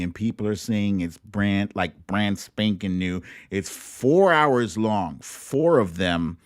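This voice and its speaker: A man's voice: fairly low pitch, no echo, studio quality. A middle-aged man hosting a livestream, chatting casually and directly to his viewers in a rambling, conversational way. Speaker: host of a livestream show. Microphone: streaming microphone at a laptop setup.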